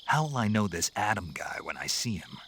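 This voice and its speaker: Very deep, gruff soldier. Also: male voice, big pitch swings, moderate pace, fairly bright tone, a little hiss or hum. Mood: terse, resolute. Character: gruff, laconic male special forces operative, middle-aged. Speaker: field agent taking a briefing. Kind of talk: video game voice acting.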